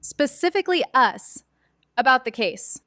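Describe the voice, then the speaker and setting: Cocksure young girl detective, played by a woman, high-voiced. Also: big pitch swings, a little slow, bright, forceful tone, no echo, clean studio sound, full character voice. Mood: excited, cocky. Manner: emphatic, self-assured. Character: bold, stubborn, overconfident twelve-year-old girl detective. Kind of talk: scripted audio drama acting.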